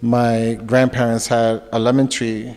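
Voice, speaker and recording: A man in his forties from Puerto Rico, whose first language is Spanish, speaking softly and thoughtfully as a guest in an interview. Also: medium pitch, little pitch movement, a little slow, clean tone. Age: 40s. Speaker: guest, film director and author. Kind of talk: casual interview talk. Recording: echoey room with long reverb.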